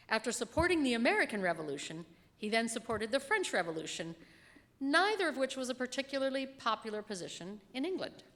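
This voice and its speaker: A woman's voice: a little high, a bit bright. Woman reading a sermon in a reverberant hall. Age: middle-aged. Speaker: lay reader delivering a written sermon. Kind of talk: sermon read aloud from a script. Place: church pulpit in a large reverberant room. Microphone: gooseneck lectern microphone.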